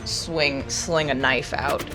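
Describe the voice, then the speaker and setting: A woman with a lowish, creaky voice talks through her moves at a tabletop game. Her delivery is loose and slangy, and music plays clearly behind her. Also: steady tone, slow pace, poor audio. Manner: loose, slangy, narrating moves in the first person. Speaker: player in a tabletop roleplaying game. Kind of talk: casual game-table chat.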